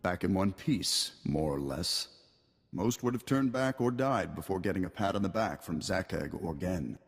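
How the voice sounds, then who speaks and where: A man playing a dry, gruff training master who speaks in a measured way in a video game. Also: low-pitched, lively intonation, full character voice. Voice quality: husky. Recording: long reverb.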